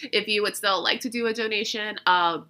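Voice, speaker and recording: A woman's voice: medium pitch, fairly quick, bright, forceful tone. A woman hosting a podcast in casual chat, congested and stuffy from allergies, chatty and often laughing at herself. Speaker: podcast host.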